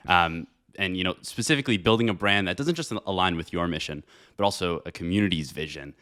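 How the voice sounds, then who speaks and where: Male interviewer. Deep register, clean resonant tone, conversational questioning. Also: fairly quick, pushed and bright. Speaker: interviewer, podcast host.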